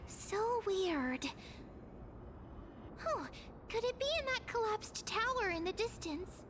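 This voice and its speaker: A woman's voice: extremely high pitch, lively intonation, slow pace, a little hiss or hum, heavily acted. A woman voicing a small, girlish, eager fairy-like creature in a video game, chirpy and self-assured. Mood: pondering. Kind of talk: video game character voice acting.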